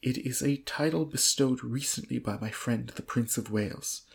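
A man voicing a genial, obliging, quick-witted earl with hearty society politeness, in a storybook reading. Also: mid-range voice, creaky, close to an everyday voice. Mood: breezy.

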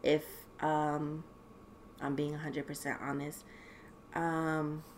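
A young woman co-hosting a podcast, talking casually in an animated, rambling way with plenty of swearing. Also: low voice, slow pace, slight background noise. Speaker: podcast co-host. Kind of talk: casual chat.

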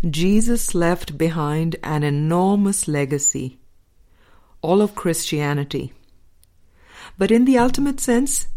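A woman with a low-pitched voice reads a spiritual audiobook. Her delivery is slow and deliberate, with pauses between phrases. The tone is soft-edged and mellow. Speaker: audiobook reader. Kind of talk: scripted spiritual audiobook narration.

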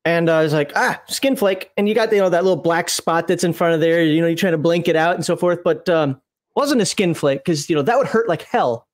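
A man's voice: a little high, fairly quick. A man chatting casually on a live stream, rambling with lots of fillers and laughs, his voice a little worn from talking. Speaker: streamer co-host.

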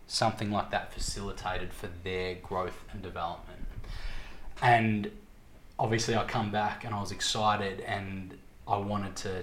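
A man in his twenties with an Australian accent, telling his story casually as an interview guest, reflective and halting as he searches for words. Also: on the low side. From Victoria, Australia. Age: 20s. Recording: echoey room, background noise.

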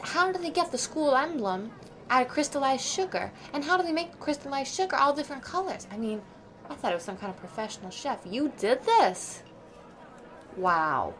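Actress as chatty, easily impressed woman at a school function. Effusive, slightly patronizing, animated delivery, improv radio drama. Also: sits high, normal speed, slight background noise. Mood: amazed, gushing.

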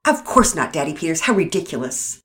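A woman reading a storybook, voicing an irritable mother who talks loudly and huffily. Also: low-pitched, very animated, brisk. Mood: irritated. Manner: loud, huffy, rising to tearful. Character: exasperated mother, quick to anger.

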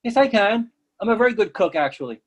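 A man's voice: high-pitched, lively intonation, fast talker, slightly rough. A man acting an eager, oblivious friend with an insistent, earnest manner in a readers theatre comedy. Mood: defensive. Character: eager, oblivious male friend pushing food, stubbornly cheerful.

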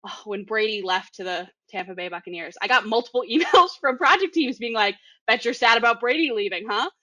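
A woman's voice: a little high, a little fast, bright, forceful tone, dry recording. A young woman from the Boston area with a Boston accent, chatting casually and laughing often. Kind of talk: casual podcast chat.

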